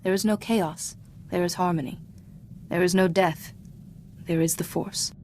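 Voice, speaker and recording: An actress playing a calm, principled female Jedi mentor in a video game, speaking in a measured, formal, instructive way. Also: lowish voice, a little hiss or hum. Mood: serene, instructive. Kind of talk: scripted video game voice acting.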